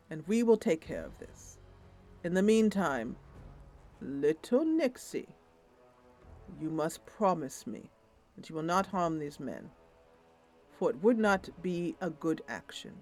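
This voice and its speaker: A woman's voice: sits low, very animated, measured pace, pauses often, a little mellow, soft background music, exaggerated voice. A woman voicing a solemn, principled female adventurer of the wilds, speaking formally and earnestly in a fantasy game roleplay. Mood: solemn, firm.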